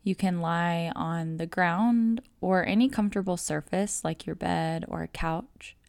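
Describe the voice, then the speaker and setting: Slow, low-voiced woman guiding meditation. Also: creaky, no echo. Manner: calm, measured, soothing instruction with long pauses. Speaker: yoga nidra guide. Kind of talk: guided meditation narration.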